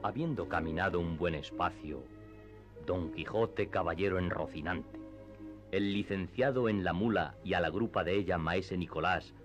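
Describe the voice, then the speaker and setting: A man narrating a classic literary radio play in a measured, storytelling manner. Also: low voice. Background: music.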